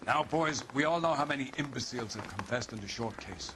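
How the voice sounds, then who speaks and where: Brusque, distant police captain. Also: male voice, medium pitch, lively intonation, fairly quick, sharp tone, slight background noise. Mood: brusque, sardonic. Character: brusque, sardonic police captain giving orders at briefing. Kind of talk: video game cutscene acting.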